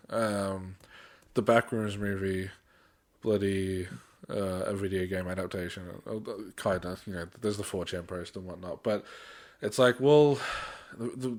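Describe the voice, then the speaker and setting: Casually chatting man, informal. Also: medium pitch. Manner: informal, mildly sweary. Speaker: podcast host. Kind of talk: casual chat.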